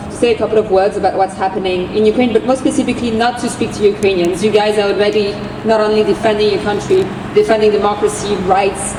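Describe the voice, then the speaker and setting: A woman with a French accent giving an impassioned speech as an activist at an outdoor street rally, driving her points home with repeated phrases. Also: medium pitch. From France. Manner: forceful, repetitive rallying cadence. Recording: distant, echoey street recording with noise.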